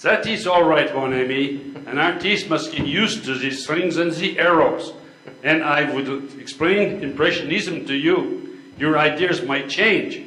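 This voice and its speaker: A man's voice: a little high, unhurried, bright, forceful tone. An older man acting a pompous would-be continental painter in amateur readers theater, with theatrical French flourishes. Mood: grandly dignified. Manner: theatrical, sprinkled with French phrases. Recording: echoey room recording.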